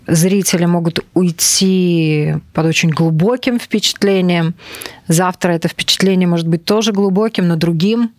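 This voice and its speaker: A woman's voice: on the low side, a little mellow, no echo. A woman radio host in a conversational studio interview, phrasing thoughts reflectively and often checking in with questions.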